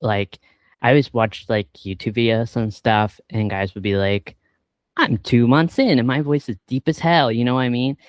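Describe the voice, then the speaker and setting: Flat-voiced low man, smooth and dry. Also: moderate pace, no room sound. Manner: relaxed, filler-heavy, many likes. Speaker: interview host. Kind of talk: casual interview chat.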